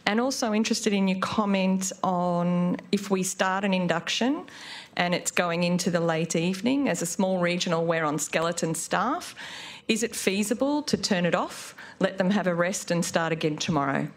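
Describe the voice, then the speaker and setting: A woman's voice: on the low side, moderate pace. A woman asking a panel a question from the floor, polite and apologetic.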